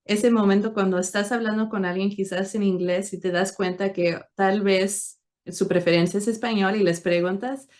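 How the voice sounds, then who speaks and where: A woman's voice: mid-range voice, fairly even intonation, normal speed, a little mellow. A woman hosting a conversational interview, speaking in an encouraging, effusive way. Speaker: interviewer, show host; attorney.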